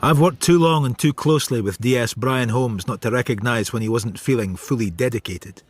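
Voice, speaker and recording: An actor playing a world-weary, cynical detective who narrates his thoughts in a wry, brooding radio drama style, with a husky voice. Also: medium pitch, fairly quick, lightly acted.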